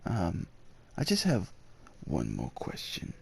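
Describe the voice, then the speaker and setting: A man playing a devoted, gentle werewolf suitor in an ASMR roleplay, speaking with hesitant tenderness and courtly phrasing in a gravelly, husky voice. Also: low-pitched, wildly swooping pitch, slow, slight background noise, full character voice. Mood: warm, hopeful. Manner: hesitant, tender, old-fashioned courtly phrasing with tag questions. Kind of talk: ASMR roleplay, acted monologue addressed to the listener.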